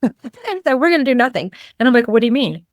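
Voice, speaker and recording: A woman's voice: fairly high pitch, big pitch swings, fast talker, no room sound, clean studio sound. A middle-aged woman from Lithuania telling a personal story conversationally as an interview guest, acting out bits of dialogue as she goes. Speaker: podcast guest, clinical nutritionist.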